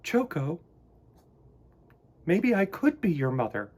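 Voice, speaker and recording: A kind storybook mother bear, acted out by a man, speaks high and gently. The voice swings with animated, welcoming exclamations and has a slightly husky edge. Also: slow pace, full character voice. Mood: tender.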